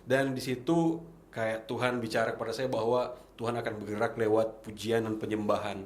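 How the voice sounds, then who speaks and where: Young man from Manado, podcast guest, low voice, earnest reflective testimony, echoey room. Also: fairly even intonation, slight background noise. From Manado, Indonesia. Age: 20s. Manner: earnest, reflective storytelling with pauses. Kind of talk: casual testimony.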